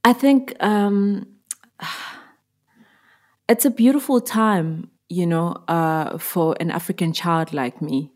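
Woman, 30s, from Zimbabwe, lowish voice, thoughtful musician guest. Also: slow pace. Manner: reflective, with fillers and soft laughs. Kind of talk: podcast interview.

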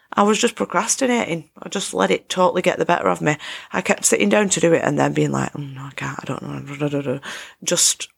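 A woman hosting her own podcast, chatting in a casual, upbeat self-help way with a croaky voice. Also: low-pitched. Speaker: podcast host. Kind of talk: casual solo podcast chat.

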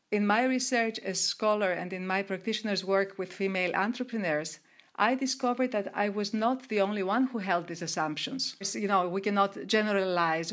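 A middle-aged woman from Greece, answering interview questions in a measured way with frequent restarts and fillers. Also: medium pitch. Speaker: guest, researcher and coach of women entrepreneurs.

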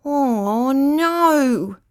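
A woman's voice: a little high, animated, slow pace. A woman playing a naive, fretful little male sea rat, plaintive and easily offended, in a children's storybook. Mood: dismayed. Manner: plaintive and indignant.

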